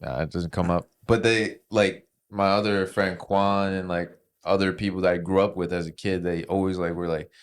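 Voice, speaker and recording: A young man co-hosting a podcast, talking softly and hesitantly with lots of fillers. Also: low voice, fairly even intonation, normal speed, few pauses. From Argentinian and Ecuadorian heritage. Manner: soft-spoken, hesitant, many fillers. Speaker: podcast co-host. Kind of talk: casual chat.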